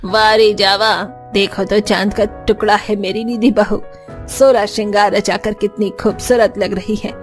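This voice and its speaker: A woman's voice: medium pitch, flat delivery, a little slow, soft background music, natural voice. A woman playing a boastful, condescending rich middle-aged mother-in-law in a cartoon story. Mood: gushing.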